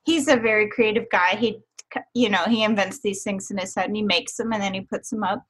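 A woman's voice: mid-range voice, fairly quick, fairly bright tone, husky. Enthusiastic woman hosting a chat. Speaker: host. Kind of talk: casual interview chat.

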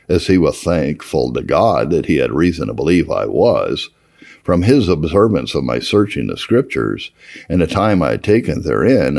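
A man with a low-pitched, creaky voice narrates a historical first-person account. His delivery is formal and measured, with lively rises and falls in pitch that give the old prose expression. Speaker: audiobook-style reader of historical religious texts. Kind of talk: scripted narration, reading an old first-person memoir aloud.

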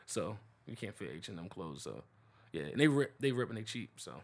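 A man's voice: fairly low pitch, very animated, fairly quick. A young man co-hosting a casual podcast chat, talking in loose slang with lots of laughing. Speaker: podcast co-host.

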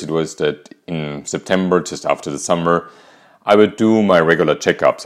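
A man in his fifties with an Austrian accent, hosting a podcast and calmly telling a candid personal story. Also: extremely low pitch. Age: 50s. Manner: calm, reflective, candid. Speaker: podcast host.

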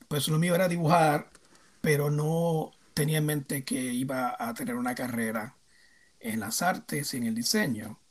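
Un hombre de unos cincuenta años con acento puertorriqueño, invitado en una entrevista, que habla de forma reflexiva y pausada, con muchas muletillas. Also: male voice, medium pitch, vocal fry, husky. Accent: Puerto Rican. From Puerto Rico. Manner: reflective, hesitant, many fillers. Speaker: guest, theme park designer. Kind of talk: casual interview answers.

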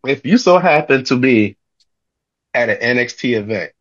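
A man co-hosting a podcast, excitable and joking, often repeating himself. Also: medium pitch, normal speed. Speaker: podcast co-host. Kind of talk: casual podcast chat. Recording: slightly dull lo-fi audio.

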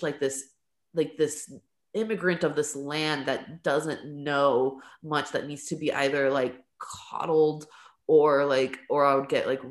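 A woman, a podcast guest and artist, telling stories of growing up in a rambling, casual way, dropping "like" and "um" and laughing often. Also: low voice. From California and Lebanon. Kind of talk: casual storytelling in an interview. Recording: roomy echo.

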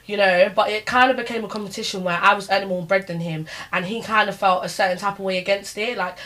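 A woman's voice: medium pitch, steady tone, quick pace, fairly bright tone. A young woman, chatting bluntly in a group discussion. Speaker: podcast host.

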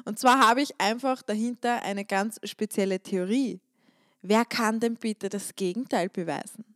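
A woman's voice: medium pitch, moderate pace, dry recording, studio quality. A woman hosting a solo podcast on stretching, talking in a chatty, emphatic way and repeating words to stress a point. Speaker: podcast host and stretching coach. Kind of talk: casual solo podcast monologue.

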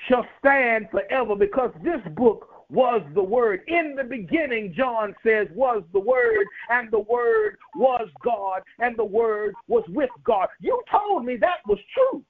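A man preaches with a very high, lively voice that swings widely as he drives home repeated phrases. The sound is tinny and narrow, like a broadcast over a phone line. He speaks in a rhythmic, emphatic sermon cadence. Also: a little slow, hardly any pauses.

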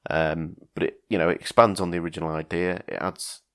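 A man's voice: sits low, fairly even intonation, a little fast, a bit bright. A man hosting a casual film podcast, talking in a hesitant way with many ums and restarts. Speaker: podcast host.

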